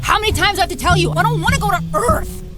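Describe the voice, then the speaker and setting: A woman's voice: very high-pitched, animated, very fast, a bit bright. An actress voicing a stubborn, cynical young female soul who talks in a sarcastic, whiny way, over film music.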